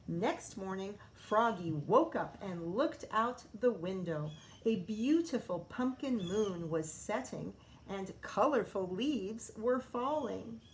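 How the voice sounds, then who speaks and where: A woman's voice: medium pitch, lively intonation, slow, slight background noise, low-quality recording. A woman teacher reading a picture book aloud to young children, expressively, with chatty explanations and questions.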